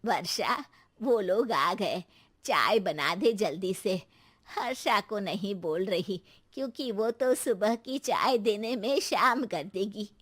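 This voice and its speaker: A woman's voice: medium pitch, expressive, takes it slowly, fairly bright tone. A woman voicing an older, proud, fussing mother-in-law in a children's cartoon story, with a coaxing manner. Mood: urging. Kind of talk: children's animated moral story.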